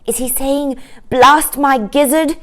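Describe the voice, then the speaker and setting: A woman's voice: sits high, fairly even intonation, takes it slowly, pitched above the performer's own voice. A woman voicing a curious, easily thrilled little girl in a children's storybook, plaintive and full of exclamations. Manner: plaintive, exclaiming, inquisitive questions.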